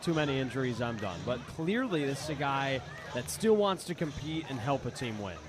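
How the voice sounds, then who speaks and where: A man's voice: medium pitch, big pitch swings, normal speed. A man working as color commentator on a live baseball radio broadcast, explaining the game in a reflective, conversational way. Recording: live ballpark broadcast with clear background noise. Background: outdoor ballpark ambience.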